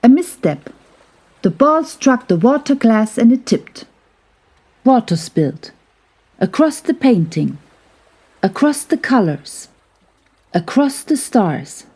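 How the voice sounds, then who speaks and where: A woman gently narrating a scripted children's bedtime story in a soothing, soft-spoken way. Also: a little high, very animated, slow pace, long pauses, breathy edge.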